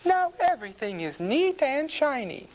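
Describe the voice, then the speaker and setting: Woman putting on a high, house-proud farmer's wife. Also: big pitch swings, moderate pace, a little mellow, poor audio. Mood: satisfied. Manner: emphatic, fussy.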